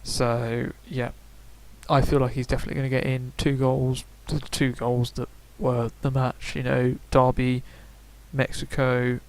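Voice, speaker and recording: A man's voice: medium pitch, little pitch movement, measured pace, a little hiss or hum. A young man giving casual, rambling video game predictions, full of fillers and self-corrections. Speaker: gaming YouTuber.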